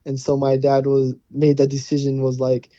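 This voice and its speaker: Mellow-voiced young man, laid-back answers. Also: mid-range voice, completely flat delivery, normal speed, dry recording, dull audio. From Hawaii. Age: young adult. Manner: easygoing, many fillers and restarts. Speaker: guest, college football player. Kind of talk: casual interview answers.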